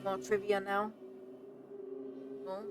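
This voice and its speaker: A woman's voice: medium pitch, monotone, slow pace, heavy background noise. A woman game streamer giving casual, chatty, exclamatory commentary as she plays. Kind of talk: casual reactive let's play commentary.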